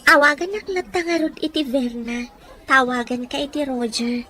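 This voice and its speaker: A woman's voice: high voice, normal speed, pushed and bright. An actress with a Filipino accent playing a young woman in an emotional drama, hot-tempered but remorseful and wounded in love. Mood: apologetic, wistful. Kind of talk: emotional audio drama acting.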